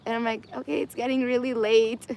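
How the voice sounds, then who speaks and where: Chatty woman host, voice going hoarse. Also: on the high side, little pitch movement, a little fast, darkish tone. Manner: chatty, laughs often. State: losing her voice. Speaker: host of an Instagram live. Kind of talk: casual live-stream chat. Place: indoors in Armenia.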